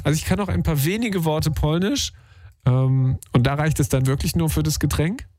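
A man's voice: fairly high pitch, normal speed, warm tone, dry recording, studio quality. Upbeat slangy male radio host. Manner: upbeat, slangy, chatty with filler sounds. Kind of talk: casual call-in radio talk. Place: radio studio. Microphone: studio microphone.

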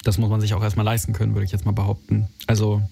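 A man's voice: on the low side, monotone, racing, gravelly. A man in his twenties from Berlin leading a casual conversation, chatty and informal with youthful slang. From Berlin, Germany. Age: 20s. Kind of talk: casual interview chat.

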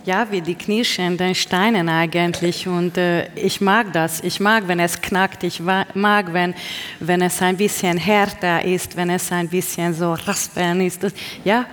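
A woman's voice: fairly low pitch, steady tone, measured pace, slight background noise. Hungarian-accented woman poet in a hall. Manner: searching for words, self-correcting. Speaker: poet, interview guest. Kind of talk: reflective interview answers. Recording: long reverb of a hall.

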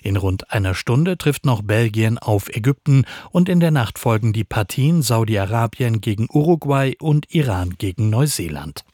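A man's voice: mid-range voice, animated, moderate pace, hardly any pauses, darkish tone, no room sound, clean studio sound. A man reading a scripted radio news bulletin as a newsreader.

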